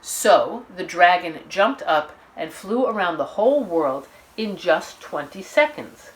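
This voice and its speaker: Animated woman reading a children's story. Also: on the low side, measured pace, slight background noise, poor audio. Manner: expressive, dramatic emphasis.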